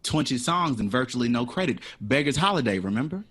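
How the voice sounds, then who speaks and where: An actor in an audio drama playing a witty, dreamy jazz composer who talks with playful, dramatic flourishes. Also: male voice, medium pitch, sing-song, brisk, fairly bright tone, husky. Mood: determined, dreamy. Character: witty, dreamy, theatrical male jazz composer, eager for independence.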